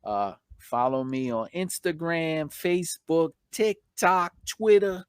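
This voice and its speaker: A man hosting a casual live stream, chatting informally with slangy shout-outs to viewers, his voice slightly hoarse from long talking. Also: fairly high pitch, slow, dry recording, clean studio sound.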